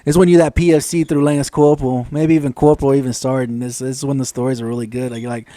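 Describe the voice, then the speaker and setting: Male host, American, quick delivery, dry sound. Informal, slangy and profane, doubles back on phrases. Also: medium pitch, little pitch movement, mellow, no echo. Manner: repeats phrases, profane slang, effusive agreement. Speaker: podcast host, military veteran. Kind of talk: casual podcast chat.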